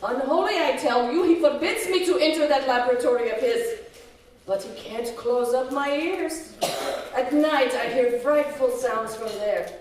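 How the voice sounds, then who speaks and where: An actress playing an anxious, easily scandalised housekeeper in an old-time radio horror drama, with a dramatic, worried delivery. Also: female voice, high voice, moderate pace, darkish tone, natural voice. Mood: worried, horrified. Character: anxious, fretful housekeeper Madame Maurice, scandalised. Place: live stage show in a community hall. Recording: echoey community hall, far from mic.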